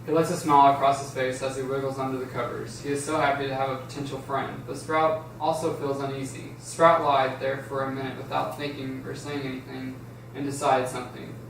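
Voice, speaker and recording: A man's voice: mid-range voice, level tone, brisk, vocal fry. A man reading out stage directions as narrator in an amateur table read. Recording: echoey room, distant mic, faint noise.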